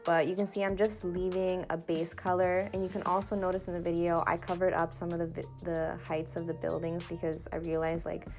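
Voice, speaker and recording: A woman's voice: on the low side, level tone, brisk, few pauses, a little mellow. Casual young woman chatting over music. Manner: informal, giggly, self-deprecating. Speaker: painter and vlogger presenting her own painting process. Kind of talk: casual chatty vlog and voiceover. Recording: music behind the voice.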